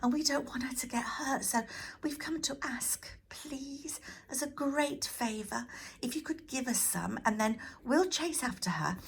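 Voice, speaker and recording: Woman as an eager, high-pitched young lad, pleading. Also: measured pace, creaky, a little hiss or hum. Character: earnest, eager, pleading young male storybook hero.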